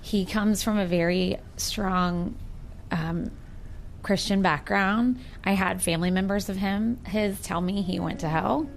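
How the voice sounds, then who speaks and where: A woman's voice: mid-range voice, fairly even intonation, measured pace, vocal fry, a little hiss or hum. An American woman in her forties talking earnestly and reflectively in a sincere personal conversation. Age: 40s. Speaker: participant in a recorded one-on-one conversation.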